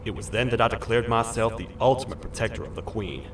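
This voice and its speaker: A man voicing a stern, weary cartoon toad sheriff in a video game, with a grave, formal, deliberate delivery. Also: mid-range voice, animated, fairly bright tone, slight background noise, lightly acted. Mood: grim, watchful. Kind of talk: video game character acting, log narration.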